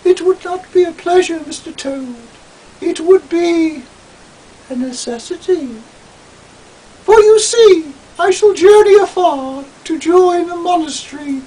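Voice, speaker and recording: A man's voice: a little mellow, recorded from a distance, low-quality recording. A man voicing a snooty, effeminate young man who speaks in a prim, disdainful, girlish tone. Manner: prissy, disdainful, high and girlish.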